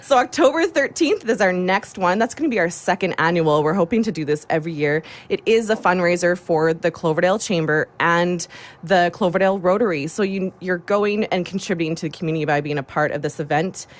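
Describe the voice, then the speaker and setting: Upbeat woman, lowish voice. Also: brisk. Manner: upbeat, enthusiastic. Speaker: guest, chamber of commerce volunteer. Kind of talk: casual radio interview chat.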